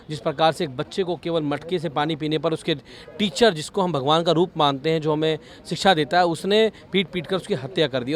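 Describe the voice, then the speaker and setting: A man giving a fluent, insistent political statement in a news interview. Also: on the high side. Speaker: politician, party legislator.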